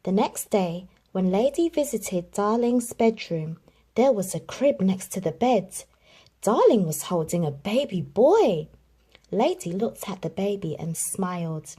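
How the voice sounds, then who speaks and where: A woman reading a children's picture book aloud, telling the story expressively with dramatic pauses. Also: medium pitch, big pitch swings, dark tone, husky. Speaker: narrator.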